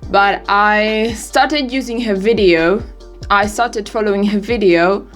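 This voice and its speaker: A woman's voice: medium pitch, slow, fairly bright tone, soft background music. An eighteen-year-old woman from Europe, English not her first language, chatting casually in a rambling, giggly, self-deprecating vlog. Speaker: vlogger. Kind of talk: casual birthday vlog chat.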